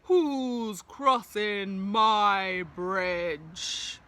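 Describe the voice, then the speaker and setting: A woman voicing a huge, hairy, greedy male troll in a storybook reading, slow and loud with growls. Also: medium pitch. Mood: menacing.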